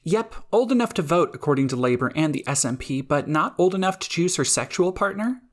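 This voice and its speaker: A woman's voice: sits low, expressive, a little fast. A woman reading someone's written opinion aloud as a guest voice.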